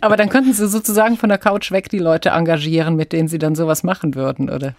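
A woman's voice: lowish voice, steady tone, fast talker, a little mellow, no echo, studio quality. A middle-aged woman from Germany hosting a conversational radio interview, asking probing, lightly teasing questions.

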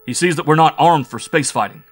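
A man's voice: mid-range voice, brisk, a bit bright, close to an everyday voice. A man voicing a big, brash, hot-blooded spaceship crewman with a hearty, blustering manner in a science fiction story. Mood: furious. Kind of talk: science fiction audiobook character.

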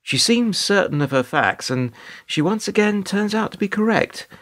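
A man's voice: sits high, expressive, moderate pace, fairly bright tone. A British man playing a weary middle-aged narrator in a radio play, dry, hesitant and sardonic. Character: wry, weary older male narrator, reluctant bystander.

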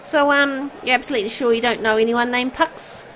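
A woman's voice: on the high side, steady tone, a little fast, a bit bright, slight background noise, voice pushed up, lightly acted, low-quality recording. A woman voicing a young game heroine who is wary, a little exasperated and awkward, but kind. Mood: friendly, awkward.

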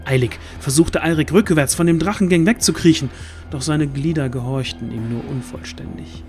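A man narrating a fantasy audio drama in a suspenseful, deliberate way with dramatic pauses. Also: a little high, a little mellow, soft background music.

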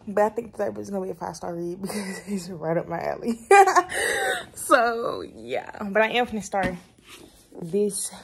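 A young woman, vlogging casually from her bedroom into a phone at arm's length, rambling and relaxed, calling her viewers y'all. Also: mid-range voice, gravelly, a little hiss or hum. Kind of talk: casual day-in-my-life vlog. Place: bedroom at home, indoors; later in a car in heavy rain. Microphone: phone camera held close at arm's length.

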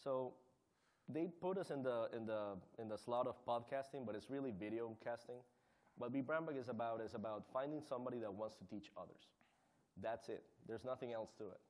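Quick-talking male panelist. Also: medium pitch, darkish tone. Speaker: panelist, community volunteer. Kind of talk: conference panel talk.

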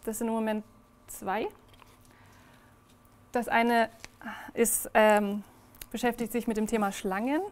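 A woman's voice: on the high side, level tone, slow, long pauses. A young woman giving a presentation to a small audience indoors, talking with many fillers and restarts. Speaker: project presenter. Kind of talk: presentation talk. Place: indoor reading room, in front of a small audience.

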